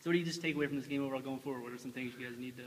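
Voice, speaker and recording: A man's voice: medium pitch, steady tone, very fast, a little hiss or hum. A man, a sports reporter, asking a coach postgame questions, often opening with hesitant filler sounds. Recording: distant, reverberant, with crowd chatter.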